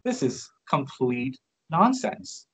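A man's voice: medium pitch, very animated, slow, a bit bright, a little hiss or hum, rough recording. Frank, chatty man on a video call. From Canada. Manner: frank and chatty, many restarts and "you know". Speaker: guest. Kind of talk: casual interview on a video call. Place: indoors by a large window.

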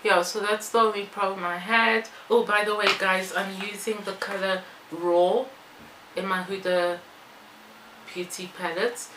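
A woman's voice: mid-range voice, level tone, measured pace. A woman, chatting casually and wandering into asides as she does a makeup tutorial and Q&A. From born in Belgium, moved to South Africa. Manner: informal, rambling asides while busy applying makeup. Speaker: beauty vlogger. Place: small bare-walled room indoors. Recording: echoey room with some background noise.

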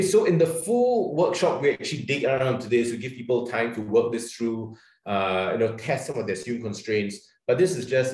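A man's voice: medium pitch, darkish tone, reverberant, low-quality recording. A man with a Singaporean accent leading an online training workshop, chatty and loose, with lots of fillers and restarts. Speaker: webinar trainer. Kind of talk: casual online workshop presentation.

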